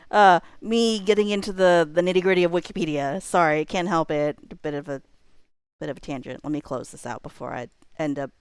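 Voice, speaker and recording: Low-pitched woman rambling casually, dry close sound. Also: clear, resonant tone, no echo. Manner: rambling, self-interrupting, informal. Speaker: livestream host. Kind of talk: casual livestream chat, thinking aloud.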